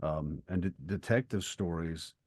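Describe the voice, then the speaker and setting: A man co-hosting a podcast, explaining ideas in a thoughtful, halting way in casual discussion. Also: low voice, darkish tone, no room sound, clean studio sound. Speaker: podcast co-host and writer.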